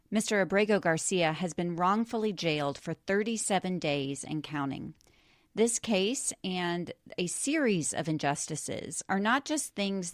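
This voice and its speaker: Lower-voiced woman hosting, close and dry. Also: no room sound. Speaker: podcast host. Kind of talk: scripted podcast introduction.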